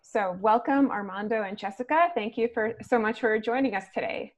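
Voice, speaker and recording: A woman, a museum programs manager, hosting an online commemorative event and reading a measured, formal introduction with occasional fillers. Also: fairly high pitch.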